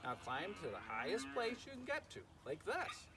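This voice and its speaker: A man's voice: high-pitched, wildly swooping pitch, moderate pace, far from the mic, a loud crowd, pitched above the performer's own voice, full character voice. A man voicing a wise, practical female spider in a children's storybook, instructive and matter-of-fact. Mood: coaching. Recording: clearly noisy, farm animal sounds behind.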